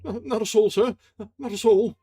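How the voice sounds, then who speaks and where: A man's voice: high voice, moderate pace, warm tone, slightly rough, pitched above the performer's own voice. A man voicing a nervous male theatre inspector who stammers and pleads while explaining himself. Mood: flustered.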